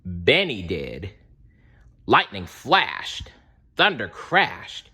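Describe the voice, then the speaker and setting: Male children's read-aloud narrator: lowish pitch, very animated intonation, dramatic delivery. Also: extremely slow, husky. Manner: dramatic, explains asides to young listeners. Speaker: storybook reader.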